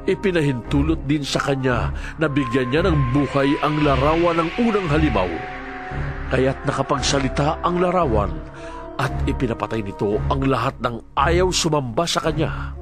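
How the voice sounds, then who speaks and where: A man narrating a Bible audio drama in a solemn, deliberate way, with dramatic swells in his delivery. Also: mid-range voice. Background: music.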